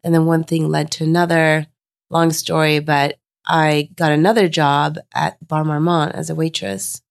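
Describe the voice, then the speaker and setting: Woman guest from Sweden, low creaky voice, candid storyteller, a bit under the weather. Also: flat delivery, moderate pace, no echo, clean studio sound. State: unwell, lower voice. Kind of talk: casual interview conversation.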